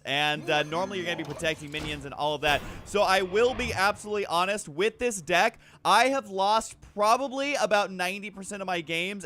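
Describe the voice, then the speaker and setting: Bright, higher-voiced man doing game commentary. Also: a little hiss or hum. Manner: self-deprecating, jokily exaggerating. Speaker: host of a gaming video channel. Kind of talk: casual gameplay commentary, recorded voice-over.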